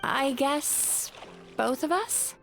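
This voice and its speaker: A woman voicing a kind young female companion in an anime game, earnest and expressive. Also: sits high, very slow, gravelly, full character voice. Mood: sheepish, doubtful. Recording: music under the voice.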